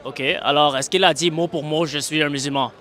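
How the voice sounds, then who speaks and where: A man with a fairly high, sharp and forceful voice, a native English speaker. He argues insistently in an informal religious street debate, often restating his point. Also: fairly even intonation, brisk. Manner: insistent, repeats himself, addresses opponent familiarly.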